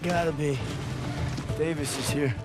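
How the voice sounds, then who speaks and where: A man's voice: on the high side, steady tone, unhurried, over music, close to an everyday voice. A man playing a confident, eager American squad soldier in a war game. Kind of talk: cinematic war game acting.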